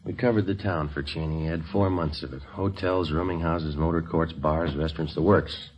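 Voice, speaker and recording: An actor playing a terse, dogged police detective in 1950s radio drama style, clipped and matter-of-fact. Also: male voice, extremely low pitch, fairly even intonation, moderate pace, darkish tone, a little hiss or hum. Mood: weary, businesslike. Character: terse, dogged male police detective sergeant narrating his case. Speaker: detective and narrator. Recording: muffled old radio recording, music.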